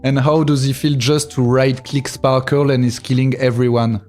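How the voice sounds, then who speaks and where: A man with a French accent asks questions in a relaxed, casual interview. His voice is mid-pitched and his phrasing hesitant but amused. Music plays behind him. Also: moderate pace. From France. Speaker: interviewer and show host.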